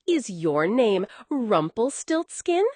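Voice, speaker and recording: Woman voicing a clever, high-voiced young storybook girl. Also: big pitch swings, takes it slowly, a little mellow, dry recording, full character voice. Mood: sweetly triumphant.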